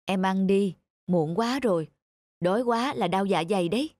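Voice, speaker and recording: A woman's voice: mid-range voice, moderate pace, a little mellow, close to an everyday voice. A woman voicing a troubled married businessman in a cartoon, earnest and hesitant. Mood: flustered. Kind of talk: scripted cartoon dialogue.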